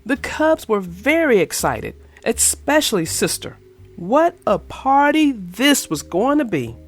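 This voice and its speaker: A woman reading a children's picture book aloud in an expressive, sing-song storytelling manner. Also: a little high, slow pace, husky, soft background music. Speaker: storybook reader. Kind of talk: children's read-aloud narration.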